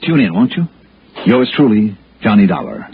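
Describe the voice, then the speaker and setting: An American actor playing a cool, shrewd, wry private investigator in a radio drama, speaking in a clipped, dry, hardboiled way. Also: male voice, on the low side, huge pitch swings, normal speed, vocal fry, slightly rough, full character voice. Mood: dry, satisfied. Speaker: lead actor playing the detective and narrator. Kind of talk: 1960s radio detective drama acting. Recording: muffled old radio recording, music.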